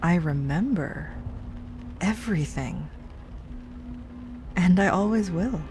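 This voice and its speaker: Slow, low-voiced obsessive ex-girlfriend, acted by a woman. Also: lively intonation, background noise. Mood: tender, possessive. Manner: slow, breathy, drifting into whispers. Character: obsessive stalker ex-girlfriend, sweet, menacing, lovesick woman. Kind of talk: intimate dramatic voicemail monologue, audio roleplay. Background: rain and music.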